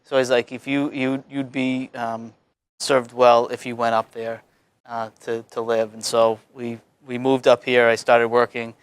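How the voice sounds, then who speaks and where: A man in his thirties from Massachusetts, a newly elected alderman, telling a relaxed story with fillers in an interview. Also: medium pitch, measured pace, clear, resonant tone. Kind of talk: casual interview chat.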